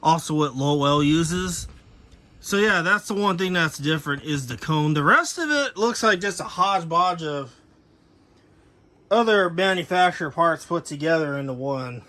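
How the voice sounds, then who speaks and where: A man with a somewhat high-pitched voice talks casually through a piece of gear he collects. His delivery is unscripted and rambling, and he corrects himself as he goes, like an informal hobbyist reviewer. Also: expressive, moderate pace, pushed and bright. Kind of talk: casual unscripted product overview.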